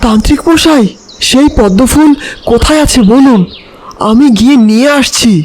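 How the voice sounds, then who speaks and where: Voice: high-pitched, moderate pace, darkish tone, a little hiss or hum, close to an everyday voice. A performer voicing an earnest male kite, a helpful bird messenger in a children's cartoon, speaking with urgency. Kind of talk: animated children's story voice acting.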